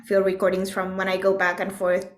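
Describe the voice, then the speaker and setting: A woman from Puerto Rico speaking as an interview guest, reflective and thoughtful, often pausing to search for words. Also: medium pitch, completely flat delivery. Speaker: interview guest, composer and musician. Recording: echoey room sound.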